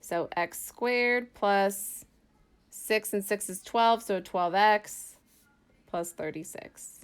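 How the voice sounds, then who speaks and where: A woman's voice: medium pitch, slow pace, clean tone. A woman math teacher giving an informal lesson, thinking aloud as she explains worked examples step by step in a casual way.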